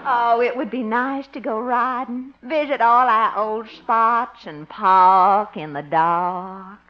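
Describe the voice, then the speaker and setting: A woman's voice: fairly high pitch, slow pace. An actress playing a clingy, lovestruck woman in a 1950s radio comedy, cooing and melodramatic. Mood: coy, flirtatious. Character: gushing, clingy, lovestruck woman chasing her sweetheart. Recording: muffled old radio recording.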